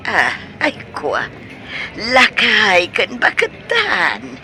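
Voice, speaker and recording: Woman playing a shy flustered mom in a radio comedy, slow and hesitant, super animated pitch. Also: faint music behind, full character voice. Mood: reluctant, coy. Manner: hesitant, coy. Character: coy middle-aged widowed mother being courted. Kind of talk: Ilocano radio comedy drama acting.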